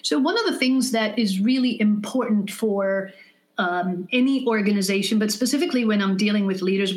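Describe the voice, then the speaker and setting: Woman calmly explaining leadership advice. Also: medium pitch. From United States. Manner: measured, explanatory, uses examples. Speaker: guest, leadership consultant. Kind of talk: interview answers.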